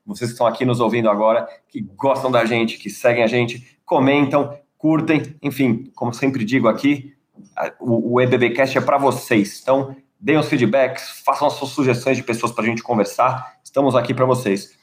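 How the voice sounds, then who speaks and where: A man's voice: mid-range voice, fairly even intonation, moderate pace. A man hosting a podcast, chatty and enthusiastic, talking over a remote call. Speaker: podcast host.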